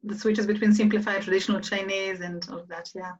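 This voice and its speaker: A woman's voice: medium pitch, little pitch movement, quick pace, far from the mic, dull audio. A woman whose first language is Hebrew, interviewing a guest in a loose, rambling way with many restarts and "right?" tags. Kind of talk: casual panel interview.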